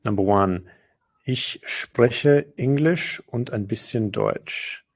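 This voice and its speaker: A man teaching grammar as a language lesson, patiently explaining example sentences and slowing down to say the foreign phrases clearly. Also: medium pitch.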